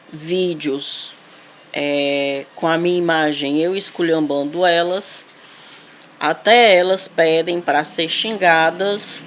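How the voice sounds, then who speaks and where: A woman with a Northeastern Brazilian accent making an unscripted spoken complaint, halting and repetitive, with emphatic insistence. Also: low voice. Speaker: local resident making a video appeal. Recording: clear background noise, ambient sound.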